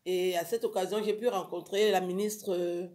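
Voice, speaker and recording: The lively woman guest who takes over a local radio talk show with her exclaimed anecdotes. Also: on the low side, moderate pace. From Africa. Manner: animated storytelling, exclamatory. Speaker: guest, writer on violence against women. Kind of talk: casual radio interview chat.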